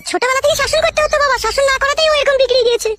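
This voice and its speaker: A woman voicing a furious, sharp-tongued young village wife in a comic cartoon, shrill and cursing, with a rural West Bengal accent. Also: rapid-fire, background noise. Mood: complaining.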